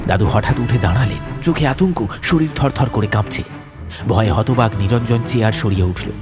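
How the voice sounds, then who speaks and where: A man's voice: fairly low pitch, moderate pace, music in the background. A man narrating a horror audiobook in a dramatic, suspenseful, theatrical manner.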